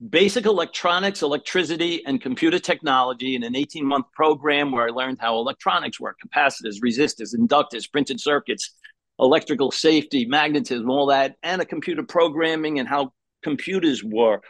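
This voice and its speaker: A man with a Brooklyn accent, a podcast guest telling his story in a lively, rambling way and often stopping to restart a phrase. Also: medium pitch, no echo. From New York. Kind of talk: casual interview storytelling.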